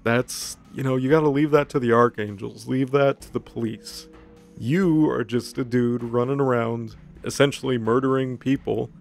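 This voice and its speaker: A man's voice: medium pitch, steady tone, moderate pace, gravelly, a little mellow, soft background music, natural voice. A man voicing a sensible, disapproving woman who scolds matter-of-factly in a comic story recap. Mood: reproving. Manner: reproving, matter-of-fact.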